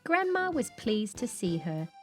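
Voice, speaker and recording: A woman reading a children's picture book aloud in an expressive read-along style, with faint music behind. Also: medium pitch, unhurried. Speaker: children's storybook narrator.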